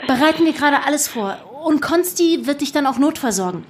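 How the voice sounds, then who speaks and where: A driven young undercover activist speaks in a high voice over noisy ambience. She is earnest and insistent, in naturalistic radio drama style. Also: animated, a little fast. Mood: reassuring, businesslike. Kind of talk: naturalistic audio drama acting. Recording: clear background noise and ambience.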